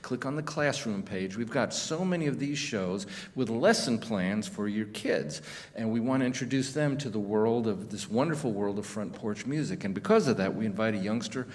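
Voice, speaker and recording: A man's voice: on the low side, animated, normal speed, darkish tone. American man hosting in a reverberant hall. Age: middle-aged or older. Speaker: radio show host. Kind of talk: live radio show introduction to an audience. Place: theater stage. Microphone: stand microphone at lectern. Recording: live in a reverberant theater with audience.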